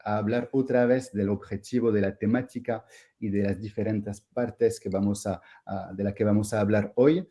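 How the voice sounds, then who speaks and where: A man's voice: on the low side, warm tone. A man from France with a French accent, hosting an online masterclass in a hesitant way, with many fillers and restarts. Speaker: host.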